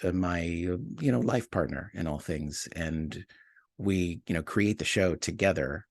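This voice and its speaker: A man's voice: deep voice, measured pace, no echo, studio quality. A man talking casually and reflectively as an interview guest, searching for his words with a hesitant, self-deprecating manner. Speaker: podcast writer and co-producer, interview guest.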